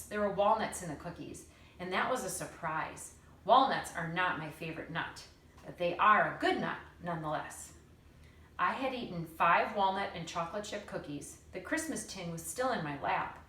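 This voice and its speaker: Expressive woman reading a story, roomy sound. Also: fairly low pitch, moderate pace. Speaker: teacher reading aloud. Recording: roomy, distant mic, faint noise.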